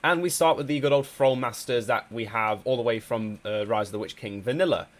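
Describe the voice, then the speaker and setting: Male gameplay commentator, mid-pitched, a little stuffy from a cold, halting and casual. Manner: hesitant, many ums and restarts. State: slightly congested from a cold. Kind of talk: casual strategy game commentary.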